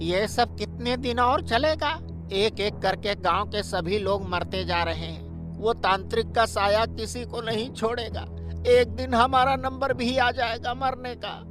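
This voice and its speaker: A man voicing a worried, caring village buffalo keeper in a cartoon story, speaking earnestly and deliberately. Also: extremely high pitch. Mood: worried, fearful. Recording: loud music behind.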